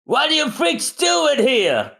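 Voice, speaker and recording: A man voicing a brash, hot-headed male shipwright gang boss in a loud, high, shouting voice. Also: wildly swooping pitch, slow, vocal fry, roomy sound, heavily acted. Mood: angry.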